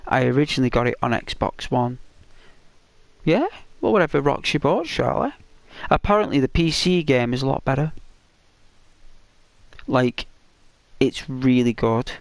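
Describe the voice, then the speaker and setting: Man, croaky hoarse voice, casual rambling gaming chat, dry close sound. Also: mid-range voice, animated, measured pace, long pauses, no echo. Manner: rambling, talks straight to viewers. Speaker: gaming channel host.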